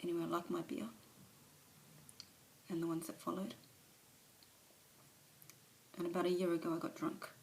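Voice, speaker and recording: A woman performs a remorseful recovering alcoholic mother, confessing in a low, gravelly voice that keeps close to one note and sometimes sinks into a whisper. It is recorded on a phone camera. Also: flat delivery, moderate pace, pauses often, a little mellow, a little hiss or hum, low-quality recording. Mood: ashamed. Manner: confessional, dropping to whispers at times. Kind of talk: dramatic monologue performance.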